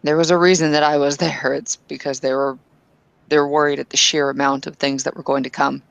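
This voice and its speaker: A woman's voice: deep voice, flat delivery, fairly quick, vocal fry, dry recording, poor audio. A woman playing a blunt, impatient woman in an in-character tabletop roleplay, speaking in hesitant bursts that turn opinionated. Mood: earnest, warning.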